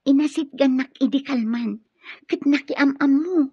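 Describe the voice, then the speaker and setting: A woman's voice: high voice, measured pace, mellow, dry recording. A woman with an Ilocano accent playing a friendly, trusting young student in a radio drama. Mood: eager. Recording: dull radio drama sound, music behind.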